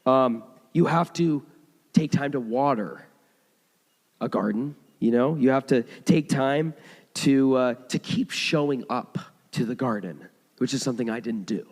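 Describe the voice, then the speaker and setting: A hoarse man preaching a sermon, telling stories in a conversational way with frequent restarts. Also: medium pitch, measured pace, a little mellow. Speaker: pastor. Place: church sanctuary. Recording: long hall reverb.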